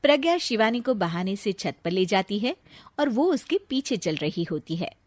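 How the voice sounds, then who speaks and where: A woman's voice: medium pitch, very animated, moderate pace, a little mellow, no room sound. A woman narrating a scripted family story as the storyteller.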